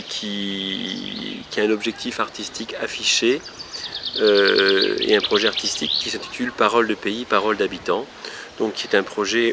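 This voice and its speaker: A man speaking for a theatre company, explaining its work in an interview with hesitant pauses. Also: lowish voice. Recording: loud noise, birdsong, clicks. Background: birdsong.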